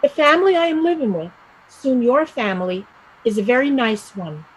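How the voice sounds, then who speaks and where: A woman playing a restless young Irish village woman set on emigrating, speaking emphatically and deliberately in a stage play reading. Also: fairly high pitch, lively intonation, husky, rough recording. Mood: earnest, hopeful.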